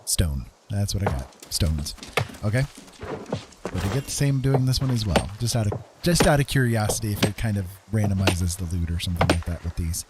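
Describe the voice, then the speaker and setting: Low-voiced man commentating over background noise. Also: expressive, a little fast. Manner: thinking aloud, often ending on questioning tags. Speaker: game streamer. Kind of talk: casual gameplay commentary.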